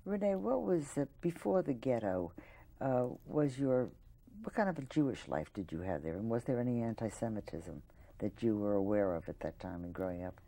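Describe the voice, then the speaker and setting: A woman with a very deep, mellow voice conducts an interview. She asks short, gentle questions and keeps things moving with quiet mm-hmms. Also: lively intonation, normal speed, creaky. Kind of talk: oral history interview questions.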